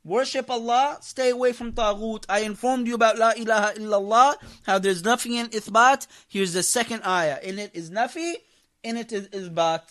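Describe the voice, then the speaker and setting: High-pitched man preaching emphatically. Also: fairly bright tone. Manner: emphatic, repeats key phrases, puts rhetorical questions to listeners. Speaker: imam teaching a religious class. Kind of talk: impassioned religious lecture to a congregation.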